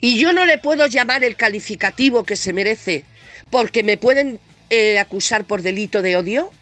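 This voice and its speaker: A woman's voice: fairly high pitch, animated, moderate pace, a bit bright, faint music behind. A woman with a Castilian Spanish accent and a heavy cold delivers a scathing political opinion monologue as a presenter, blunt, sarcastic, emphatic and indignant. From Spain.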